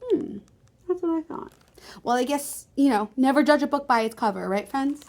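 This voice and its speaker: High, animated woman reading to children. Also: moderate pace. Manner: animated, expressive, talks directly to young listeners. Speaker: library storytime host and reader. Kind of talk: children's picture-book read-aloud with chatty asides to listeners.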